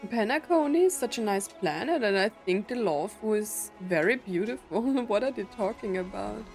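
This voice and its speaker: A woman's voice: mid-range voice, expressive, normal speed, soft background music. A woman giving casual, chatty commentary as a gaming streamer, reacting in short interjections.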